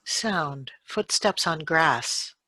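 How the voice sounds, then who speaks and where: A woman's voice: low voice, expressive, very slow, pushed and bright, dry recording, rough recording. A woman reading out radio-play sound effect cues in short, clipped announcements.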